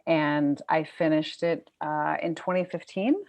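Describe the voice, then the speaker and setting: Low, creaky-voiced woman telling her story. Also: steady tone, a little slow, a little mellow, no echo. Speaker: author, panel guest. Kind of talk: casual personal storytelling.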